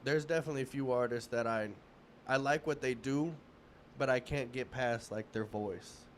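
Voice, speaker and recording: Male host in his 30s, medium pitch, easygoing rambling chat, faint hiss in the background. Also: moderate pace. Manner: relaxed, rambling, many restarts and fillers. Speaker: podcast host. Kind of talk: casual interview chat.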